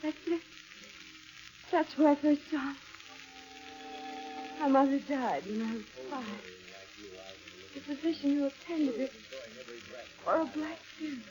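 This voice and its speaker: A woman's voice: high voice, unhurried, pauses often. A woman playing a fragile, haunted young woman, pleading and tremulous in 1940s radio melodrama. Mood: weak, dazed. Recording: noisy, muffled old radio recording.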